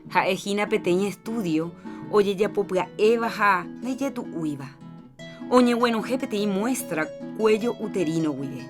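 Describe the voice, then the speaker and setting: A woman's voice: medium pitch, measured pace. Paraguayan female presenter over guitar music. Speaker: health programme presenter. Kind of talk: scripted educational narration in Jopara.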